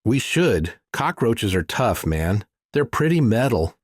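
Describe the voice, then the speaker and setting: A man's voice: mid-range voice, big pitch swings, takes it slowly, husky, no echo, clean studio sound, pitched above the performer's own voice, heavily acted. A man voicing a playful, slangy woman with a breezy, teasing manner, as an audiobook character. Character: playful, slangy woman who loves metal music.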